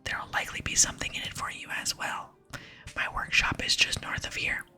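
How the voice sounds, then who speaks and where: A woman voicing a solemn, wistful last dwarf smith in a breathy ASMR whisper for a game reading. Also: brisk, pushed and bright, soft background music. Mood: helpful. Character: solemn lonely last dwarf smith, mournful but hopeful.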